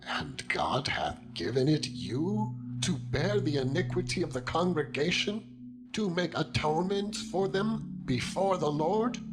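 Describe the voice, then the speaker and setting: A man's voice: a little high, big pitch swings, a little slow, pitched above the performer's own voice. A man playing a stern, commanding male biblical leader in a solemn, declamatory dramatized scripture reading. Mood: angry, reproachful. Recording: loud music bed under the voice. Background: loud music.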